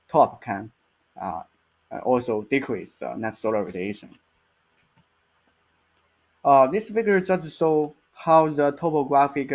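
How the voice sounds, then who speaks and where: A male researcher talks colleagues through technical slides. His delivery is halting and hesitant, at an ordinary male pitch. The sound is dull and muffled, with a lo-fi quality. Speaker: research scientist presenting.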